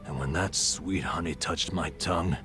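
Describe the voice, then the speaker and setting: A man's voice: extremely low pitch, moderate pace, music in the background. An actor playing a stressed, boastful middle-aged vagabond in a video game cutscene, telling his tales dramatically and colloquially. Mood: dreamy, boastful.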